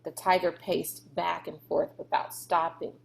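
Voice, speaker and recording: A woman's voice: lowish voice, flat delivery, unhurried, creaky, low-quality recording. A woman reading a storybook aloud as narrator. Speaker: read-aloud reader.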